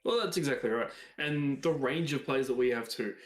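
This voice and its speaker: A man's voice: medium pitch, brisk. Australian man in a roomy space. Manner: enthusiastic, agreeable. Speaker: podcast co-host. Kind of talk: casual chat. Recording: roomy sound with faint music.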